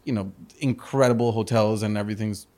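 A man's voice: fairly low pitch, steady tone, brisk, darkish tone. A man in his thirties who grew up in Spain, a business guest talking in a casual interview, earnest, restarting sentences and tagging them with "correct?". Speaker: entrepreneur guest.

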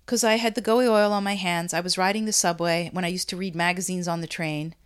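A woman's voice: lowish voice, steady tone, fairly quick, clear, resonant tone. Chatty woman in her fifties. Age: 50s. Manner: chatty, laughing, rambling with restarts. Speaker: beauty brand founder. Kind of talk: casual interview chat. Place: Brooklyn.

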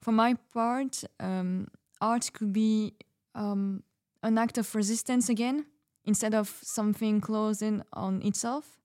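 A woman's voice: mid-range voice, steady tone, measured pace, a little mellow, dry recording, studio quality, natural voice. A woman playing an idealistic artist in a fiction podcast, speaking sincerely and reflectively. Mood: hopeful, reflective. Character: idealistic female artist finding her voice. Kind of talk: fiction podcast panel interview acting.

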